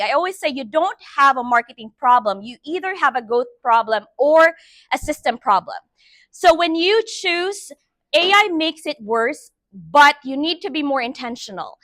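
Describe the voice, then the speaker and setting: A bright, high-voiced woman speaks to a conference room through a microphone. Her talk is chatty and upbeat, tossing frequent "right?" checks to the audience. The sound is dry and close, with little room echo. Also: expressive, normal speed. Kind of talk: live presentation to an audience.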